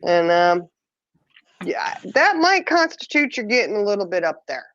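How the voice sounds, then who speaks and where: A woman's voice: medium pitch, big pitch swings, moderate pace, a bit bright, dull audio, a little hiss or hum. A woman co-hosting a casual chat, teasing and joking. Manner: teasing, dry joker. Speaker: podcast co-host.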